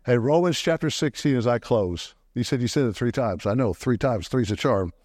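A male preacher delivers an animated sermon in a medium-pitched voice. He often jumps his voice upward for emphasis. The sound is dry and close, with little room echo. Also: moderate pace. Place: church. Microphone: microphone.

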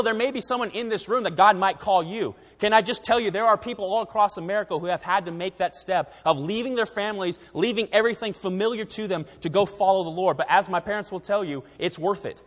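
A man's voice: sits high, big-room sound. A young man raised in Taiwan, giving a missionary slide presentation to a church in an earnest, conversational way with frequent fillers. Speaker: missionary speaker.

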